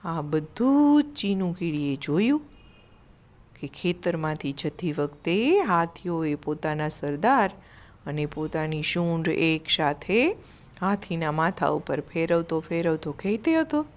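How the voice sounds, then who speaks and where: Slow-paced woman telling a children's story. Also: low voice, very animated. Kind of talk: narrating a children's moral story.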